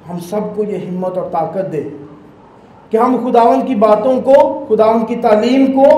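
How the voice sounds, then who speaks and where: High-voiced Pakistani priest preaching emphatically. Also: male voice, warm tone, reverberant, a little hiss or hum, poor audio. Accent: Pakistani Urdu. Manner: emphatic preaching with sweeping hand gestures. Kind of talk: Sunday homily. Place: small church sanctuary, indoors. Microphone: stand mic at the lectern.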